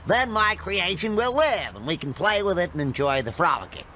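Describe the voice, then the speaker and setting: A man's voice: high voice, big pitch swings, normal speed, sharp tone, slight background noise, heavily acted. A man voicing an eccentric, nerdy middle-aged mad scientist in a cartoon game, nasal and rambling with babbled nonsense words. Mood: excitable rambling.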